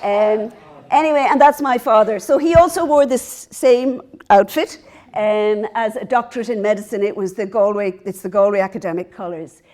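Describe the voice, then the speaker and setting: Irish woman with a mellow voice, lecturing. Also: medium pitch, moderate pace. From Ireland. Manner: warm, earnest, laughs at herself between points. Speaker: professor giving a lecture. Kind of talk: public lecture. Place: lecture hall.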